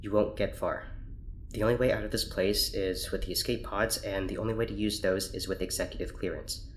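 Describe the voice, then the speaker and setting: A man playing a guarded, formal scientist in an audio drama, speaking in a measured, secretive way. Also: fairly low pitch, fairly even intonation, slight background noise, natural voice. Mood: businesslike, serious.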